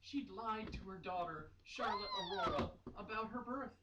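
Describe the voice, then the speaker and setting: Animated woman reading aloud in an echoey room. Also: on the high side, moderate pace. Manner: giggly, exclaiming, playfully theatrical. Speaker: reader performing a dramatic reading of a romance novel. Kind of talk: mock-dramatic read-aloud with casual comic asides. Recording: echoey room, distant mic, faint noise.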